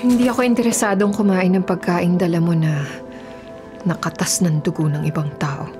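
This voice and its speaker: A woman's voice: on the low side, fairly even intonation, moderate pace, close to an everyday voice. An actress playing a disapproving, accusing woman in a radio drama. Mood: bitter, accusing. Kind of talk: Filipino radio drama acting. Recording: music behind voice.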